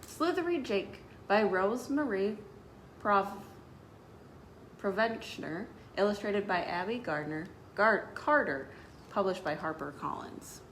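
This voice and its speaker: A woman's voice: medium pitch, lively intonation, slow, fairly bright tone, a little hiss or hum, poor audio. A woman speaking as a children's librarian, hosting story time with announcements and read-aloud for young listeners.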